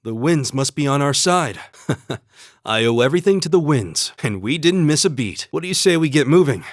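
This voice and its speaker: A man voicing a calm, courteous young spear fighter from the plains in a video game, speaking in an earnest, measured, polite way. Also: on the high side, dry recording, studio quality, lightly acted. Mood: calm, friendly. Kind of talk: scripted game dialogue and battle barks.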